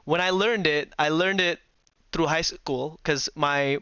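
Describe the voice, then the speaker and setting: A young man from Indonesia hosting a casual online chat, telling lively stories, acting out family arguments and laughing often. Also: fairly high pitch, measured pace, clean tone, no echo. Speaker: host.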